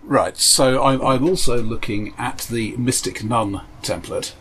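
A man's voice: medium pitch, unhurried, fairly bright tone, a little hiss or hum. A man chatting casually as a player, easygoing and wry. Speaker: podcast co-host and player. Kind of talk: casual chat.

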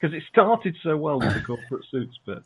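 A man's voice: a little high, sing-song, brisk, a bit bright, husky, poor audio. A man playing a tabletop role-playing game, chatting with a wry, dry humour. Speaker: player. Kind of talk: casual chat at a game table.

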